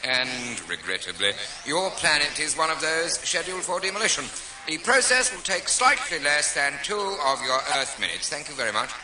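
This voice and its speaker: An actor playing an officious, pompous alien bureaucrat with an exasperated manner in a science fiction radio comedy. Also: male voice, fairly high pitch, expressive, moderate pace, noisy background, natural voice. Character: officious, bored male alien bureaucrat commanding a demolition fleet.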